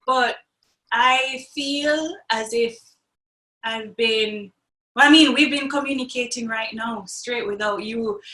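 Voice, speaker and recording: Bright-voiced Jamaican woman in an echoey room. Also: a little high, slow. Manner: animated, laughs often. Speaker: actress, guest. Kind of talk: interview conversation.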